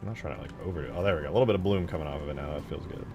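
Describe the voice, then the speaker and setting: A man's voice: very deep voice, rapid-fire, highs cut off, over music. A man streaming his game modding work, talking informally and thinking aloud. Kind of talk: casual livestream chat.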